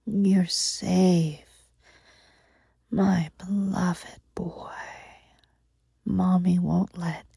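A woman playing a doting, protective motherly lover, speaking softly in a cooing, often whispered way close to the mic. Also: fairly low pitch, steady tone, drawn-out words, creaky, pauses often, slightly rough, dry recording, exaggerated voice. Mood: soothing, doting. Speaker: audio roleplay performer. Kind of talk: intimate whispered audio roleplay.

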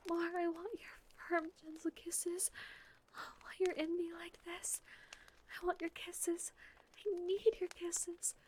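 A woman speaks almost entirely in a breathy whisper, with a very high voice. She takes her time over every phrase, soft and pleading, with sighs between her lines. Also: flat delivery, takes it slowly, slight background noise. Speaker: audio roleplay performer. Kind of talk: intimate whispered audio roleplay.

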